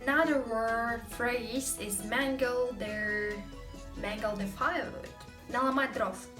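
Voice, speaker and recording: A woman's voice: on the high side, steady tone, slow, roomy sound, recorded from a distance, music in the background. A young woman with a Russian accent, hosting a casual language lesson, explaining chattily with many fillers. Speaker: host.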